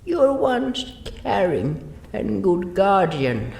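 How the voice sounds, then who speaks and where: Audio drama creature, timid and loyal played by a man: high-pitched, very slow, halting broken English, roomy echo. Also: big pitch swings, gravelly, a little mellow, heavily acted. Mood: scolding, earnest. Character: timid, loyal creature narrator-hero, pleading and earnest.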